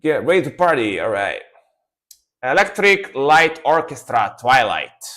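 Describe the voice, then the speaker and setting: A man's voice: mid-range voice, sing-song, measured pace, a bit bright. A young man with an Italian accent, non-native English speaker, giving casual, enthusiastic music reactions on a live stream with a rambling, repetitive way of talking. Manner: enthusiastic, rambling, repeats words like "sick".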